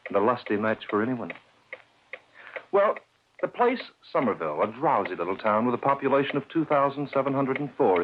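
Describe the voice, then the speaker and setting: A man voicing a sardonic radio-drama narrator whose pitch rises and falls a lot. The recording is tinny like a phone line, with faint hiss underneath. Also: natural voice. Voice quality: sardonic, measured. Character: wry male narrator personifying chance.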